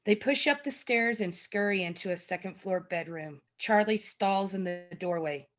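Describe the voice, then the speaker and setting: A woman's voice: medium pitch, normal speed, noisy background. A woman narrates screenplay action lines in a mid-range voice at a table read. The sound is dull and lo-fi, as on a video call. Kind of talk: scripted narration of stage directions at a table read. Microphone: video call microphone.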